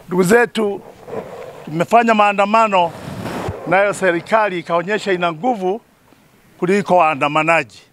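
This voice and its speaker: Kenyan man in his sixties, high pitch, oratorical, repeated phrases, outdoor press statement. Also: normal speed, long pauses, fairly bright tone, slight background noise. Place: outdoors among a group of people. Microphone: cluster of handheld press microphones.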